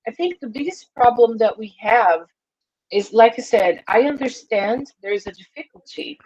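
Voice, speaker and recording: A woman's voice: a little high, animated, moderate pace, darkish tone, husky. A woman from Brazil with a Brazilian accent, an English teacher chatting casually on a live stream and often restarting her sentences. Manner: hesitant, repeats words and restarts. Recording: dull lo-fi stream audio.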